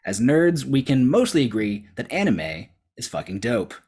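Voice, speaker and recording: Sarcastic man arguing his case, mid-pitched. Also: very animated, moderate pace, heavy background noise. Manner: sarcastic, emphatic, casually sweary. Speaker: debater on a movie show. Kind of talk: prepared persuasive argument with sarcastic jabs.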